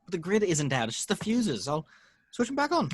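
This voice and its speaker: A man playing a flustered young man taking charge of his friends in an amateur table read, urgent and husky. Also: high voice, huge pitch swings, fairly quick, full character voice. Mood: reassuring. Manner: urgent, exclamatory.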